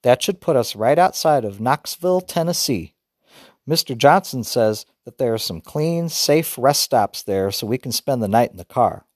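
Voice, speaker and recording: A man voicing a brisk, organized mother. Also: mid-range voice, expressive, dry recording, studio quality, lightly acted. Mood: planning. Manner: brisk, careful, list-like planning. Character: organized mother reading out a trip plan.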